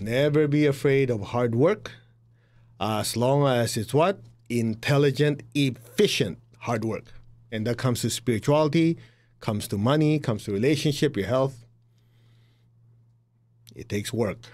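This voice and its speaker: A man's voice: medium pitch, darkish tone. Blunt middle-aged man, Chinese accent. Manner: blunt and direct, acts out quoted voices, laughs at own jokes. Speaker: spiritual teacher. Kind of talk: motivational spiritual talk.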